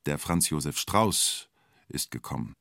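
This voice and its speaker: An actor narrating a radio play in the present tense as an observant storyteller, in terse, understated fragments. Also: male voice, rumbling low, expressive, unhurried, vocal fry, a bit bright, no room sound, clean studio sound, heavily acted. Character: male storyteller following an onlooker at a siege, observant.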